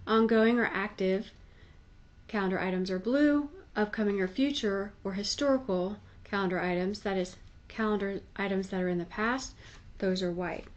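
A woman's voice: mid-range voice, moderate pace, lo-fi sound. A woman giving a conversational, explanatory tutorial voice-over in a plain-spoken, instructive manner. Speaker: tutorial presenter.

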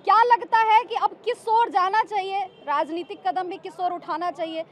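A woman's voice: extremely high pitch, fairly even intonation, moderate pace. A young woman working as a TV reporter, interviewing people in the street. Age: young adult. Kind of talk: street interview and piece to camera. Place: outdoors on a city street. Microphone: handheld reporter's microphone. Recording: faint street noise.

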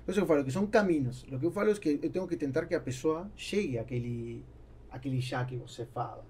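A man's voice: a little high, fast, darkish tone, a little hiss or hum. A man, explaining things through anecdotes as a guest in a studio conversation. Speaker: podcast guest, business owner. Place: small foam-treated podcast studio. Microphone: boom-arm studio microphone, close.

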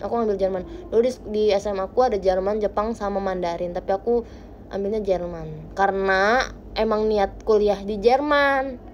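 A young woman hosting a casual livestream from her bedroom, chatting with viewers into a phone held close. She talks playfully, with quiet murmurs that jump into bright, lively bursts. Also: mid-range voice, normal speed, soft background music. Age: young adult. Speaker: idol livestream host. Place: small bright bedroom indoors. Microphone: phone camera close to face.

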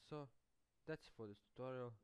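A man hosts a casual software tutorial. His voice is clear and resonant at an average pitch, recorded dry with little room sound. He explains step by step in a halting way, often repeating his phrases. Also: dry recording. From Serbia. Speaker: tutorial host. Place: indoors, screen-capture voice-over.

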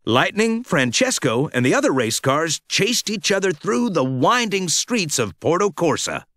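Animated, unhurried male children's storyteller. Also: on the high side, dry recording, clean studio sound. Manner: dramatic, expressive delivery for children. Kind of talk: scripted read-along storytelling.

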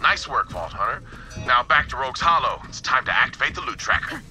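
A brash smuggler from a video game, acted by a man, mid-pitched and very lively in intonation. He talks in a folksy, irreverent way and jokes like a seasoned gun-for-hire, with music playing clearly behind him. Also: fairly quick, natural voice, rough recording. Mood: brisk. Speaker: video game quest giver. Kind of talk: video game character acting.

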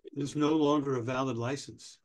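A man in his sixties playing a pedantic, obstructive older DMV clerk in a staged comedy reading, officious, deadpan and stalling. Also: medium pitch, fairly quick, lightly acted. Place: indoors, on a video call.

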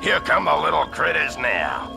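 Chatty aussie tracker, cartoon game, creaky voice, really lively, loud music behind. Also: male voice, a little high, normal speed, exaggerated voice. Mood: urgent, chatty. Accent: Australian. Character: chatty, cocky, friendly outback tracker man. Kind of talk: cartoon video game voice acting.

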